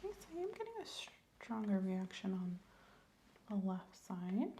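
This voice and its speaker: Asmr doctor lady, soft n reassuring, bit breathy, close mic w some faint noise. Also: mid-range voice, very animated, normal speed. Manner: soft-spoken, gently instructing, reassuring. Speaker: ASMR creator playing a doctor. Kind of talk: ASMR medical exam roleplay.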